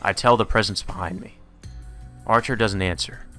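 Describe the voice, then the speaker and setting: Casting: male let's play narrator, lower-pitched, reading visual novel prose aloud with faint music behind. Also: moderate pace, bright, forceful tone.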